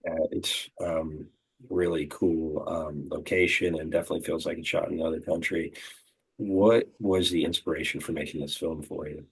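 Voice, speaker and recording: A man's voice: extremely low pitch, fairly even intonation, normal speed, gravelly, husky, rough recording. A man hosting a film interview show, talking in an informal, encouraging way.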